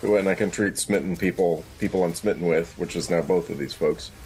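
A man's voice: sits low, fairly even intonation, a little fast, a little mellow, poor audio. A man chatting casually as a player in a tabletop roleplaying game.

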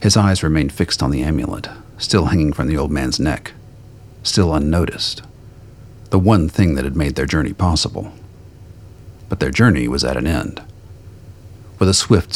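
Very deep, gravelly male narrator. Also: moderate pace. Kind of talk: scripted dramatic narration of a radio play.

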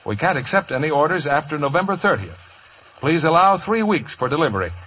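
A man's voice: fairly high pitch, big pitch swings, fairly quick, fairly bright tone, husky, muffled recording. An American man reading a radio commercial as an announcer in a 1950s broadcast style. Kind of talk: 1950s radio advertising read.

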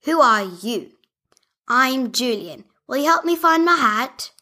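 A woman's voice: high voice, animated, takes it slowly, pushed and bright, full character voice. A woman voicing a clumsy male toy builder figure who has lost his hat, sounding flustered.

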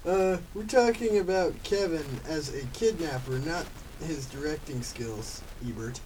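A male performer voicing a polite, grumbling sloth, reading a little haltingly and mock-formally in an amateur radio comedy. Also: on the high side, a little mellow, natural voice. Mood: matter-of-fact. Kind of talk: amateur old-time radio comedy drama. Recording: distant mic with faint background noise.

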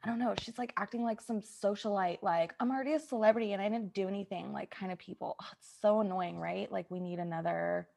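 A woman with a creaky voice improvising comedy in casual, chatty banter. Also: medium pitch, fast, hardly any pauses, a little mellow.

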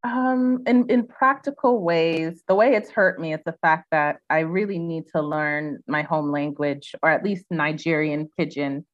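Woman, young adult, from the United States, low-pitched, reflective, lots of fillers, dull recording. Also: measured pace, few pauses. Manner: reflective, many "um" and "you know". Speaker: guest. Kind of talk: casual interview talk.

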